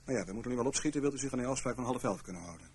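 A man's voice: medium pitch, lively intonation, racing, close to an everyday voice. A man acting in a Dutch radio play as a stern, sardonic intelligence chief, with clipped authority and dry sarcasm. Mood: stern, brisk. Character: stern, commanding spy chief, coolly sardonic official.

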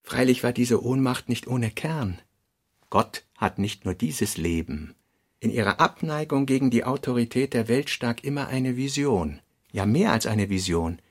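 A man's voice: medium pitch, expressive, measured pace. A man with a Standard German accent reading scripted, fragmentary literary prose in a radio production.

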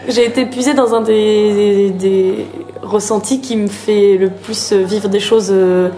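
A woman giving casual personal testimony, reflective and halting, often restarting her phrases. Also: medium pitch, fairly even intonation, dark tone, faint music behind. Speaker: dancer.

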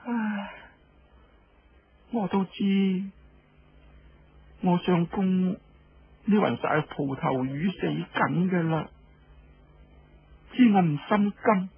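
Man acting distraught young wife, Cantonese accent, plaintive and loyal, slow delivery, radio storytelling, slightly muffled recording. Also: high voice, dry recording, voice pushed up, heavily acted. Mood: despairing. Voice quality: husky. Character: distraught, stubbornly loyal young wife pleading for her husband.

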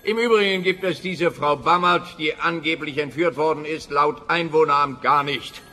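A man's voice: a little high, moderate pace, pushed and bright, in a large hall. An actor playing a grumpy, weary, sarcastic policeman who grumbles sardonically in a radio play. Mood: weary, sardonic. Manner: sardonic, grumbling, long-suffering.

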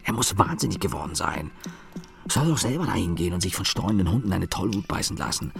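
A man's voice: low voice, expressive, fast, vocal fry, music in the background, full character voice. A man playing a weary, cynical office engineer in a radio play, muttering a sardonic monologue in clipped fragments. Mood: bitter, contemptuous.

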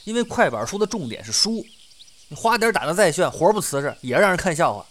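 A man plays an assured young rhyme performer in an audio drama. He explains quickly in a highish, animated voice, like a confident senior colleague teaching. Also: heavily acted. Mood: instructive.

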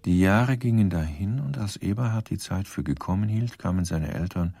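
Deep, gravelly male narrator. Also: normal speed, no echo. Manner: dry, deadpan. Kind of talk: scripted radio drama narration.

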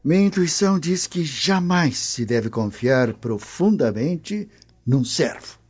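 Husky, haughty old Roman nobleman. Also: on the high side, animated, slow, darkish tone, exaggerated voice. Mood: disapproving, suspicious. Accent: Brazilian. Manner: haughty, grumbling, emphatic. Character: distrustful, stern old Roman nobleman and husband. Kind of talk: radio drama dialogue. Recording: dull lo-fi radio drama recording.

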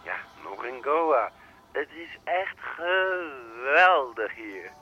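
An actor playing a carefree, evasive brother calling from abroad in a radio drama, hesitant and heard through a telephone. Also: male voice, medium pitch, big pitch swings, very slow, muffled recording, exaggerated voice. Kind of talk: naturalistic radio drama acting.

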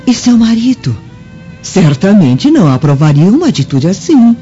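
An actress playing a devoted, cautious slave maid in a Brazilian Portuguese radio drama, deferential and gentle. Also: female voice, medium pitch, very animated, normal speed, lightly acted. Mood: worried. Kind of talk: dubbed radio drama acting. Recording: dull lo-fi audio with music underneath.

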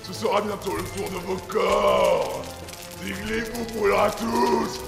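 An actor playing a wrathful, boastful demon in an audio drama, pompous and menacing, with a gravelly voice. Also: male voice, sits high, big pitch swings, a little slow, full character voice. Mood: exalted threat. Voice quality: gravelly, creaky. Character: wrathful, boastful demon, a pale head from hell. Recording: echoey long reverb under loud music.

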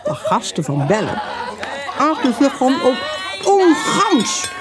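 A woman narrates a scripted story in a fairly high voice. Her intonation is animated and moves widely through the phrases. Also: slow, over a noisy crowd.

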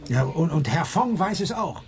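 An actor playing an awkward, agitated, suspicious insurance clerk in a radio drama, halting and fussily formal in his speech. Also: a little high, very animated, background noise. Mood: impatient, probing. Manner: hesitant, stammering, fussily formal.

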